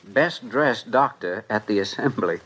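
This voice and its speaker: Animated, earnest young doctor. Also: male voice, lowish voice, takes it slowly, husky, heavily acted. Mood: hesitant, reading. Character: earnest, supportive younger male doctor assistant. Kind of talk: 1950s radio drama acting. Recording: noisy vintage 1950s radio recording.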